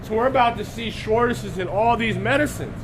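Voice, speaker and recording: A high-pitched man preaching live to an online audience, his voice hoarse as he recovers from being sick. He keeps clearing his throat and breaks off to greet viewers by name. A faint background hiss sits under the stream. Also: fairly quick. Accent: Texas.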